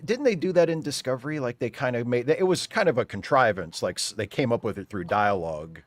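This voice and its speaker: A man's voice: mid-range voice, expressive, a little fast, dry recording. A man on a panel show chatting casually, riffing in a wry, joking way. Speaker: panel guest on live show.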